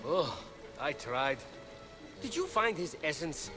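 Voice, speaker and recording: High-voiced, swooping trickster god. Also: male voice, very animated, slow. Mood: breezy. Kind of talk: comic video game cutscene acting. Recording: loud game music underneath.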